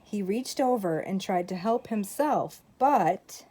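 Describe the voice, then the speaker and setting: Older woman reading a storybook with lively swings. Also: medium pitch, slow, slightly rough. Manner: animated, dramatic storytelling with exclamations. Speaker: grandmother reading to grandchildren. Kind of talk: expressive children's picture-book read-aloud.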